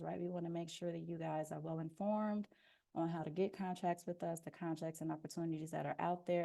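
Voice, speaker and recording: A soft-spoken woman presenting an informational webinar as a procurement officer, explaining steadily with frequent "um" fillers, speaking into a headphone mic on a video call. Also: deep voice, creaky.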